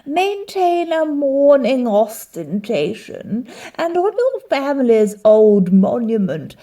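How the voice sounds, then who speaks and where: Slow, mellow, wise friar. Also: female voice, a little high, sing-song, recorded from a distance. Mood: grave counsel. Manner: measured, deliberate, persuasive. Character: calm, wise, kindly friar giving counsel. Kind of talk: Shakespearean audio play acting.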